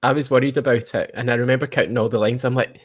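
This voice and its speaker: A Scottish man hosting a casual live chat in an excitable, chatty manner. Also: mid-range voice, fast talker, no echo, clean studio sound. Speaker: podcast host and audio drama producer.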